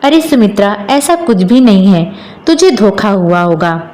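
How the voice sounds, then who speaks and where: A woman's voice: mid-range voice, expressive, unhurried, a little mellow, close to an everyday voice. A woman voicing a moody, emphatic village husband who longs for a son, in a storybook tale. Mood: placating.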